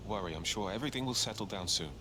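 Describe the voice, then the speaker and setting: Man as steady, matter-of-fact household worker for a game; fairly low, fast, reassuring, outdoor ambience behind. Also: background noise, lightly acted. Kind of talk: video game voice acting.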